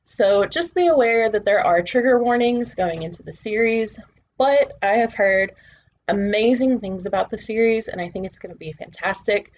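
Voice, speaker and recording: A woman speaks to viewers as the host of a book channel. Her pitch sits in the middle, and she phrases things deliberately, with short pauses. There is some faint background noise in the recording. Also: animated, highs cut off. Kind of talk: presenter-style talk to camera.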